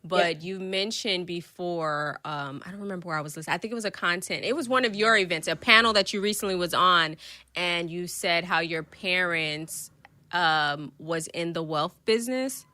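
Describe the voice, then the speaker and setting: A woman's voice: on the low side, normal speed, bright, forceful tone, no echo. A woman hosting a podcast interview, chatty and bubbly, asking about her guest's life. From Haitian background. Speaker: podcast host. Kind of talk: casual interview chat.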